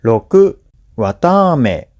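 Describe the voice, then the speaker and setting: A man narrating a scripted listening lesson for language learners, phrasing deliberately in short segments. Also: medium pitch, very animated, a little mellow, muffled recording. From Japan.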